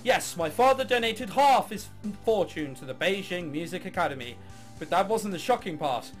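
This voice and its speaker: A man's voice: high voice, lively intonation, moderate pace, soft background music. Man reading as a sarcastic, apathetic young heiress. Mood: wry narration. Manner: sarcastic, bored, crass. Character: jaded, apathetic young woman, reluctant orchestra heiress.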